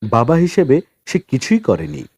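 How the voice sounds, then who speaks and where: A man narrating a novel as an audiobook in a dramatic, expressive storytelling style with deliberate pauses. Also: a little high, slightly rough, no room sound, clean studio sound.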